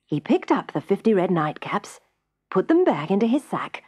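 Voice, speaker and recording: A woman reads a children's story as narrator, in a slightly husky voice. Her delivery is measured yet lively, with dramatic emphasis on key words. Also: mid-range voice, muffled recording.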